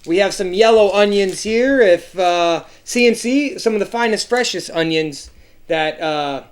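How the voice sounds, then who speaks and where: A man with a notably high speaking voice co-hosting a casual chat podcast. He rambles and riffs playfully, trailing off mid-thought. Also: a little slow, clean tone. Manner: rambling, playful, reads sponsor spot jokingly. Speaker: podcast co-host.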